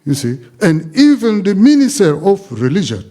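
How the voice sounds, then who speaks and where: A man from the Democratic Republic of the Congo, speaking from the audience in an impassioned, halting way. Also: sits high, wildly swooping pitch, warm tone. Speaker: audience member.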